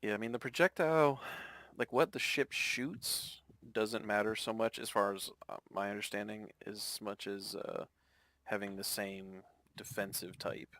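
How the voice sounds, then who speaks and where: A man in his mid-thirties chatting over voice chat, measured and going through game tactics in detail. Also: on the low side, steady tone, fairly bright tone. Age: 30s. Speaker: gamer in voice chat. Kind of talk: casual voice chat.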